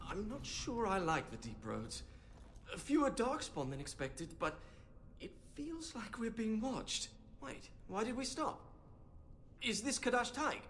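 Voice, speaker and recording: A fussy young scholar mage from a fantasy game. His pitch moves around a lot as he explains things in an earnest, pedantic, slightly timid way. There is faint noise behind him. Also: big pitch swings, a little slow, fairly bright tone, heavily acted. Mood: uneasy. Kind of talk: video game character acting.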